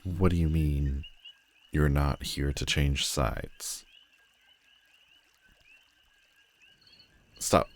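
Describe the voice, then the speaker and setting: A brooding male supervillain acted by a man in an audio roleplay, with an extremely low voice and an unhurried delivery. He is arrogant and commanding, with a wry edge. He talks intimately to one listener, as protective as he is imperious. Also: steady tone, exaggerated voice. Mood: incredulous, dry. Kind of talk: roleplay audio drama, addressing the listener directly.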